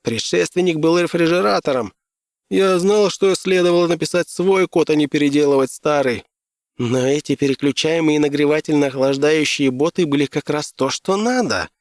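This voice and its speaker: Excitable male techie engineer acted by a man narrating, audiobook character, pitched up, eager and technical, dry sound. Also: normal speed, no room sound, natural voice. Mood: agitated. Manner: animated, technical, enthusing. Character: excitable male tech engineer, eager, brainy, jargon-loving.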